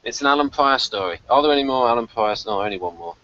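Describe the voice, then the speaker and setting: A man hosting a review show in casual chat, excitable and prone to chuckling as he talks. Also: mid-range voice, quick pace. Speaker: podcast host of a TV review show. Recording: dull, lo-fi, dry recording.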